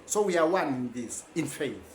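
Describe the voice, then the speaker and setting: A man's voice: a little high, expressive, normal speed, roomy sound, slight background noise, poor audio. A man giving a rambling, self-correcting informal lecture.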